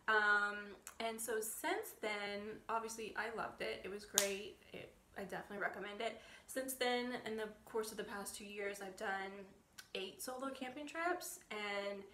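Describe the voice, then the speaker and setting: A woman's voice: mid-range voice, sharp tone, slight background noise. Female travel vlogger, casual conversational narration, informal delivery. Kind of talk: casual chat and voiceover narration.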